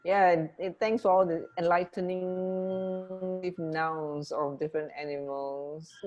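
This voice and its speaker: A woman with a slightly low voice co-hosts a casual radio show. She talks at a very slow pace, musing aloud and hesitating, letting her sentences trail off. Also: steady tone, muffled recording. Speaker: radio co-host. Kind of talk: casual, unscripted radio chat.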